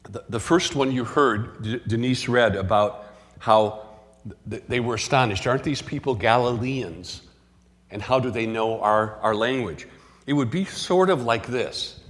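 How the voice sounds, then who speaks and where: A man preaching a sermon, earnest and measured, often restarting his phrases. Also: medium pitch. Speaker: pastor. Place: church sanctuary. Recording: long hall reverb in a church.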